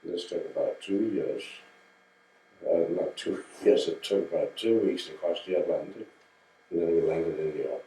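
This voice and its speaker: A man's voice: sits low, mellow, roomy sound, far from the mic, poor audio. An older man from Denmark recalling his life in a home oral history interview, telling it plainly and correcting himself as he goes. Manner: slow, plain storytelling with restarts and self-corrections.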